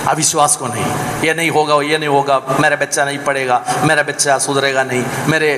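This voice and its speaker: A man preaching a sermon in Hindi, shifting between loud exhortation and low, quiet asides, and mimicking doubters in small voices. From South India. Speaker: pastor. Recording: echoey hall with background noise.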